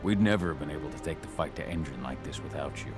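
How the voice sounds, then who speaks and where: A battle-hardened werewolf father in a game cutscene. His voice is extremely deep and gravelly, calm and reassuring in delivery. Music plays clearly behind him. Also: fast. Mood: steady, reassuring. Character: gruff adult male werewolf warrior, steady protective father. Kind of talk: video game cutscene acting.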